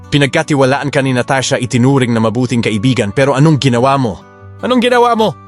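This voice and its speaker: A man's voice: mid-range voice, animated, fast talker, faint music behind, lightly acted. A man playing a protective, passionate young suitor in a Tagalog romance audio drama. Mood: furious.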